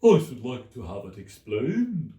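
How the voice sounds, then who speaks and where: In an echoey room, a mournful turtle creature drags out each plaintive word. The voice is mellow and swoops up and down, easily wounded, as in a storybook tale. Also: male voice, mid-range voice, huge pitch swings. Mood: querulous, puzzled. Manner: slow, drawn-out, plaintive delivery. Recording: echoey room, distant mic.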